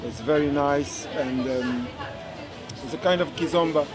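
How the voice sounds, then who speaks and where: Man, halting speech. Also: a little high. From Angola. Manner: halting, searching for words. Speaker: kizomba teacher. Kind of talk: interview. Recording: music and crowd behind.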